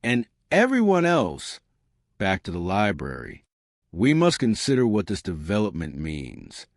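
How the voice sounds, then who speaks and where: A man's voice: on the low side, huge pitch swings, a little slow, dry recording, clean studio sound, heavily acted. A man voicing a courteous little male detective in an audiobook mystery, formal, precise and authoritative. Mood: commanding.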